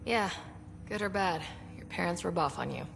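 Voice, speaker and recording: A young woman leading a space expedition, her voice slightly low with a gravelly edge. She is wry and easygoing, in video game cutscene style, with long reverb around her. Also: lively intonation, moderate pace, noisy background. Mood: wry, reflective. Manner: wry, dry-humored.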